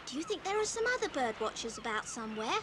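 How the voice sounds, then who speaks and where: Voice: medium pitch, sing-song, fast, lightly acted. A woman playing a sweet, curious little girl with an earnest, wondering manner in a 1980s audio drama. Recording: noisy old cassette tape transfer.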